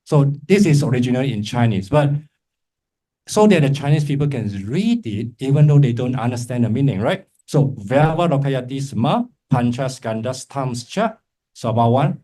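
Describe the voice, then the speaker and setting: A male Buddhist teacher leads an interactive class in an informal, encouraging way. He explains step by step and keeps checking in with little tag questions, at an average pitch for a man. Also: very animated, normal speed, mellow. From Malaysia. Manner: encouraging, informal, frequent check-in tags like "right?" and "okay?". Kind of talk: interactive lecture to a class with remote listeners.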